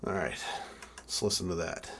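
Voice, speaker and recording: A man in his twenties giving a casual hands-on tutorial, thinking aloud in a halting way as he works. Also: low-pitched, heavy background noise. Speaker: music keyboard tutorial presenter.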